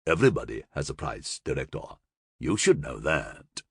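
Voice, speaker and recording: A man's voice: extremely low pitch, sing-song, husky, no room sound, clean studio sound, pitched below the performer's own voice, full character voice. A man growling out a gurgling fanged monster prisoner. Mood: taunting. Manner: taunting, sardonic, drawn-out.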